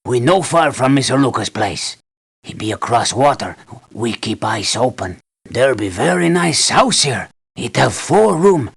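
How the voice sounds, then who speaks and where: Gravelly island guide. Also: male voice, medium pitch, sing-song, unhurried, exaggerated voice. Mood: earnest warning. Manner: simple broken English, earnest. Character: earnest, wary male island native guide. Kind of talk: video game voice lines.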